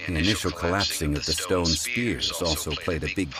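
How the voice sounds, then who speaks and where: Man acting a very deep-voiced, learned gentleman scholar. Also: over music, close to an everyday voice. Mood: composed, explanatory. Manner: measured, erudite, formal. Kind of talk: scripted video game dialogue.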